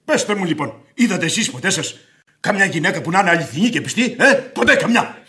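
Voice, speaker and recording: An actor in a radio play playing a hot-tempered, sarcastic middle-aged gentleman creditor who declaims theatrically. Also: sits high, big pitch swings, a little hiss or hum, exaggerated voice. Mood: scornful, declaiming.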